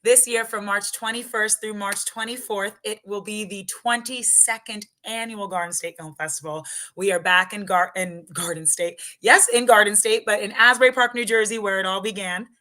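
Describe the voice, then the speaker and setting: A young woman hosts a film festival interview with a bright, sharp-edged voice. She is bubbly and gushing, keeping things light with frequent laughter. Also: mid-range voice, fairly even intonation, normal speed, hardly any pauses.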